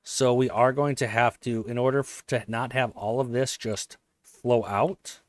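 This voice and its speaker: Slightly hoarse man narrating his gameplay. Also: medium pitch, fairly even intonation, a little slow, dry recording. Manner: thinking aloud, self-correcting. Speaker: let's play host. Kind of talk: casual gameplay commentary.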